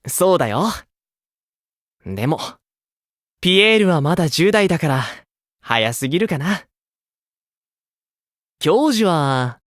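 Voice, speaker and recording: A man voicing a gentle, kindly older-brotherly idol with a romantic streak in an anime game, speaking softly. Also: a little high, sing-song, no echo, studio quality. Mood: admiring, wistful. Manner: soft, kindly, unhurried.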